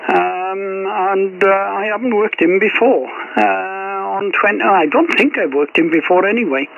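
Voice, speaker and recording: A man's voice: sits high, unhurried. A man chatting casually as an amateur radio operator over shortwave, rambling along in a hesitant, understated way. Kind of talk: casual radio chat between hobbyists. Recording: tinny, phone-like shortwave radio, clear static.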